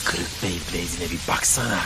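A man playing a sadistic, gloating villain in a dubbed anime cartoon, taunting with drawn-out theatrical menace. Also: sits low, heavy background noise, natural voice. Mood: gloating, cruel. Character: sadistic gloating male villain Beyblade rival. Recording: music behind the voice.

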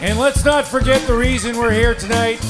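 A middle-aged man working as comedy host, announcing loudly and emphatically into a stage microphone. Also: very high voice, fairly even intonation, moderate pace, loud music behind the voice, over a noisy crowd. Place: small dark comedy stage indoors. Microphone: handheld stage microphone.

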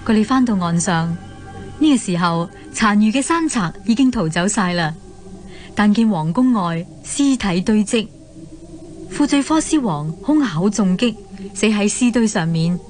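Hong Kong woman narrating with medium pitch. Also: animated, a little slow, a little mellow, soft background music. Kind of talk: dramatic storytelling narration.

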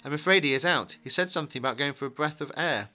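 A man's voice: a little high, fast, bright, forceful tone. A man voicing an earnest, polite hobbit traveller in a fantasy audiobook, direct and pressing in manner. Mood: anxious. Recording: music behind the voice.

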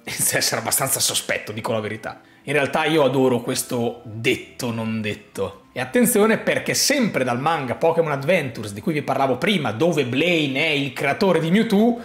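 A man, explaining a theory to camera in an emphatic, suspenseful way, repeating phrases for effect. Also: a little high. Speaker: YouTube host. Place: small home room, indoors. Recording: roomy echo. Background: background music.

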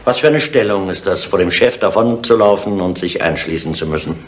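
An actor playing a matter-of-fact police inspector who questions witnesses in a German radio crime drama. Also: male voice, deep voice, fairly quick, close to an everyday voice. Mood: probing. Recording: roomy, faintly noisy 1970s radio play.

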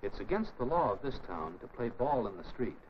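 An actor playing a firm, fair-minded middle-aged American mentor in a 1950s educational film, with a measured, lecturing manner. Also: male voice, mid-range voice, very animated, natural voice. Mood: stern, lecturing. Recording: muffled, noisy old 1950s film soundtrack.

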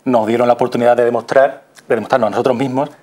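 Mid-pitched man from Spain, explaining. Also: fairly even intonation, racing, darkish tone. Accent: Spanish from Spain. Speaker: interview guest, radio theatre performer. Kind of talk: conversational explaining. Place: small TV studio. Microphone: lapel mic.

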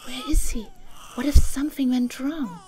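A woman's voice: sits high, huge pitch swings, moderate pace, warm tone, pitched above the performer's own voice, low-quality recording. An anxious young mother in a narrated story, acted by a woman narrator. She sounds urgent and emotional, her voice lifted well up, with faint noise behind it. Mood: panicked.

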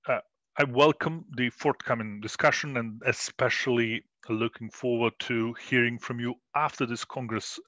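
A middle-aged man presenting his research in a recorded conference talk, measured and full of fillers and restarts. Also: medium pitch, bright, forceful tone, no room sound. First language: Croatian. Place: small room indoors.

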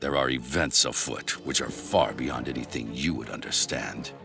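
Very deep, gravelly bitter old patriarch. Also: male voice, normal speed, music in the background. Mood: cold, contemptuous. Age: older. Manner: contemptuous, domineering. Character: heartless bitter old patriarch, menacing and contemptuous. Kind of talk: video game cutscene acting.

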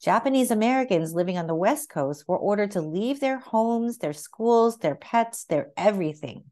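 A woman reading a children's picture book aloud as narrator, with expressive, carefully phrased storytelling. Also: medium pitch, moderate pace.

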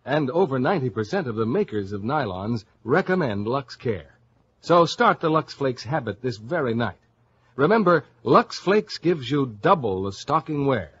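A husky-voiced man reading a commercial on an old radio show. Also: medium pitch, sing-song, moderate pace. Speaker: commercial announcer. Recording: dull old radio broadcast.

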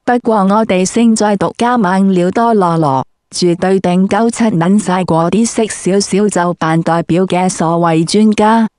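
A woman's voice: medium pitch, unhurried, hardly any pauses, a little mellow, no room sound. Woman, brash sweary interviewer, crude comedy script, really dry sound. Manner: foul-mouthed, brash.

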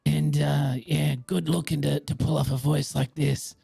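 A man with an Australian accent speaks in character during a roleplay game. His voice is heavily gravelly and husky. He teases the others with a joking, playful manner. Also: on the high side, little pitch movement, normal speed, warm tone, dry recording. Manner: joking, teasing. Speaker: roleplay player.

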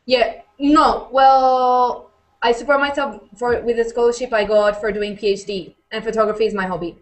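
A woman's voice: a little high, steady tone, moderate pace. Friendly young woman on a webcam call. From Spain. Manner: friendly, plain-spoken. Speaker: panel guest, physics student. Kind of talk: casual video call chat. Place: room at home. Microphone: webcam video call.

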